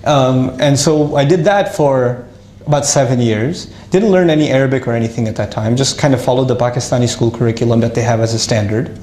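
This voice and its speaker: A man casually telling his life story to an audience, lively and self-mocking, with frequent restarts. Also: mid-range voice, fairly quick, roomy sound.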